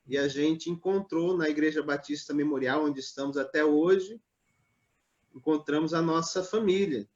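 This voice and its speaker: A man from Northeast Brazil, a pastor, telling his life story in a live online interview in a measured, hesitant way, with many pauses and fillers. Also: fairly high pitch, muffled recording. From Natal, Northeast Brazil.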